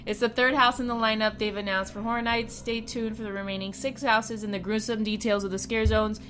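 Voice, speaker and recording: A woman's voice: fairly high pitch, flat delivery, a little fast, fairly bright tone, a little hiss or hum, poor audio. A woman co-hosting a podcast in casual chat, laughing often and tripping over names as she reads an announcement aloud. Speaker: podcast co-host.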